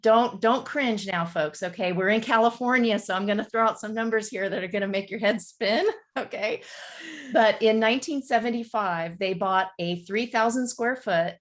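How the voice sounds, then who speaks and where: A woman in her fifties, a guest on an interview show, telling her story in a chatty, animated way and talking to listeners directly. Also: medium pitch, moderate pace. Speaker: guest, real estate broker.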